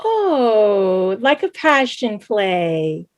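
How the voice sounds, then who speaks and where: A woman's voice: mid-range voice, big pitch swings, very slow. A woman playing a doting, dramatic Salvadoran mother in her thirties in a staged reading, with an exclamatory, chiding manner. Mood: curious, chiding. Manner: exclamatory, chiding, mixes Spanish into English. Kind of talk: live staged play reading.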